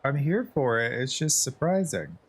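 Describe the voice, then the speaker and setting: A man's voice: medium pitch, big pitch swings, normal speed, husky. A man giving casual, supportive commentary while he plays a video game. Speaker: streamer playing a game.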